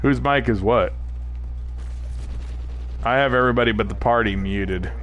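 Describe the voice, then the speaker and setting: A man's voice: medium pitch, normal speed, highs cut off, a little hiss or hum. A man from New England, chatting casually as a live streamer while playing a shooter, rambling and reading viewers' chat aloud. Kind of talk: casual gameplay commentary.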